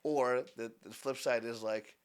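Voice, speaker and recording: Young male business founder, podcast guest, earnest and rambling, average pitch. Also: steady tone, measured pace, clean tone. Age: 20s. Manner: earnest, rambling with many restarts. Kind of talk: casual podcast conversation.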